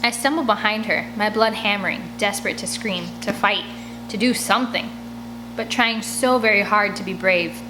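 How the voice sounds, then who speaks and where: A woman's voice: medium pitch, moderate pace, background noise. A woman reading a first-person story aloud expressively, as an audiobook narrator. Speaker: audiobook reader.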